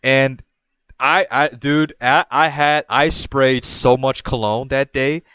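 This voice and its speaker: A man's voice: mid-range voice, takes it slowly, clean tone, dry recording. A young man from Puerto Rico hosting a podcast, telling a rambling story casually and jumping into quoted voices. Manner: animated, jumps into quoted voices, rambles and restarts. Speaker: podcast host.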